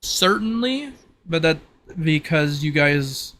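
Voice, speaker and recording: A man's voice: fairly high pitch, lively intonation, takes it slowly, fairly bright tone. A man acting as game master, casually explaining the rules of a tabletop roleplaying game.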